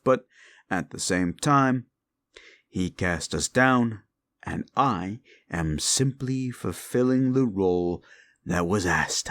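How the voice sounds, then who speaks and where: A deep, gravelly demon, played by a man, drawls his words very slowly. His pitch swoops from hushed growls to sharp, high scoffs as he mocks and curses at the listener. It is a sneering audio-roleplay fiend who takes nothing seriously. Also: no room sound, full character voice. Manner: mocking, profane, taunting. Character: scornful, sneering adult male demon.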